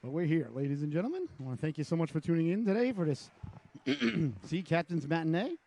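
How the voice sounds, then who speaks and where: A man with a raspy voice from a cold, calling live hockey play-by-play with chatty asides. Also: a little high, expressive, mellow. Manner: chatty asides between rapid calls. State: hoarse from a cold. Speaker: play-by-play announcer.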